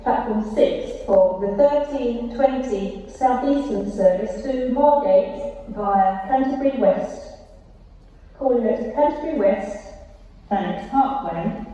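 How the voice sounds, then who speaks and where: A woman's voice: fairly high pitch, distant mic. A woman with a British accent making formal, automated railway platform announcements in segmented phrases over a station's public address system. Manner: formal, segmented phrasing pieced from recorded fragments. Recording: echoey station tannoy from afar, loud noise. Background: station ambience, train and vehicle noise.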